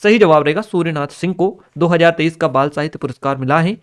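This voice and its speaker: A man with a somewhat high-pitched voice teaches for an exam. He reads each question out from his notes, then explains the answer in an instructional manner, now and then checking that listeners follow. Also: fast talker, clear, resonant tone. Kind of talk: exam paper analysis lesson, reading out questions and explaining answers.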